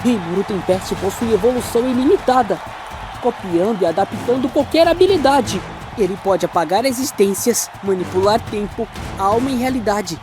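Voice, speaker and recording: A man voicing an excitable young anime character with a Brazilian accent, giving dramatic, exclamatory commentary in a scripted animated debate. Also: high voice, moderate pace, noisy background. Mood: explanatory.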